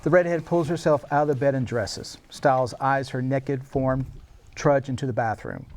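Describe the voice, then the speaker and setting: A man's voice: medium pitch, moderate pace, darkish tone, slight background noise. A man narrating screenplay action and scene headings at a table read, in a scripted, dramatic style.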